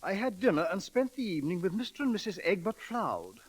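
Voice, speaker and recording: A bleary upper-class English gentleman in an old radio comedy. His voice is high and very animated, hesitant and blustering by turns, on a hissy 1930s broadcast. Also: fairly quick, husky, full character voice, low-quality recording. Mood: sheepish.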